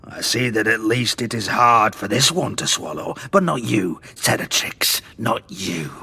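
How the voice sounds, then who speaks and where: An actor playing a menacing, fanatical alien warlord in an audio drama, with a gravelly, pompous, threatening delivery. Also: male voice, mid-range voice, animated, a little slow, sharp tone, heavily acted. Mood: scornful. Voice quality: gravelly, rasping.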